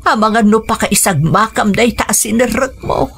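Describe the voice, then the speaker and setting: A woman playing a caring, humble older mother in a radio drama, gentle, hesitant and fretful in manner. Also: fairly high pitch, normal speed, creaky, husky, full character voice. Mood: worried.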